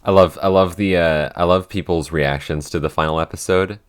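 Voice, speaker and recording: Guy with a super deep low voice just chatting, rambly, lots of "like". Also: steady tone, fairly quick, smooth. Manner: rambling, heavy use of "like". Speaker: podcast co-host, show writer. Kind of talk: casual chat.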